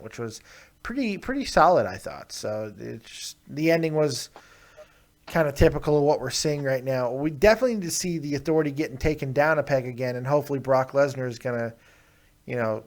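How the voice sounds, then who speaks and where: A man with a slightly high voice hosts a call-in show in crude, joking chat. He teases his callers and breaks into loud laughter. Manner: loud, teasing, bursts of laughter.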